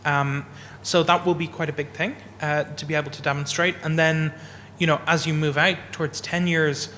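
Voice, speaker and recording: A man with a fairly high voice explains carefully and in measured steps, using fillers as he thinks. A long hall reverb trails his words, with faint room ambience underneath. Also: level tone, pushed and bright, slight background noise. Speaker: panelist. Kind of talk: answering a panel question. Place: event hall.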